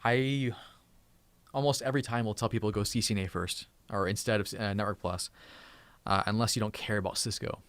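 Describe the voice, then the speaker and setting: A man's voice: lowish voice, fairly even intonation, a little fast, fairly bright tone, smooth, dry recording. A man in his thirties hosting a tech channel live stream from home, chatting casually and thankfully with viewers, often restarting and repeating words. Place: home room.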